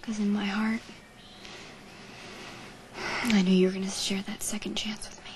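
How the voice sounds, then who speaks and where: A woman plays a devoted young woman from a rich family in a soap opera. She speaks softly and reassuringly, often dropping into a whisper, at a middling pitch for a woman. Steady noise runs under the TV audio. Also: normal speed, natural voice. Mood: earnest tender. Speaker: actor in a daytime soap.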